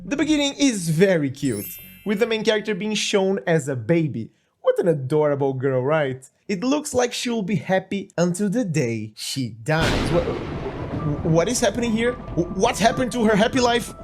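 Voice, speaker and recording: A man's voice: sits high, very animated, normal speed. A man with a Brazilian accent narrating a scripted review with enthusiasm and playful, mock-dramatic asides. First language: Portuguese. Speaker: manga review host. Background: faint background music.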